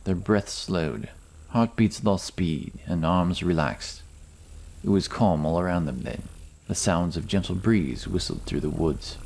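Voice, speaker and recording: Deep-voiced man narrating dramatic fantasy. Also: creaky, darkish tone, a little hiss or hum. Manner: measured, dramatic. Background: music.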